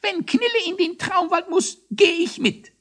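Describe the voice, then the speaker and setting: A man voicing a squeaky, indignant little hare who talks like a defiant child in a children's story. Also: animated, unhurried, fairly bright tone, exaggerated voice. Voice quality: squeaky, squawking.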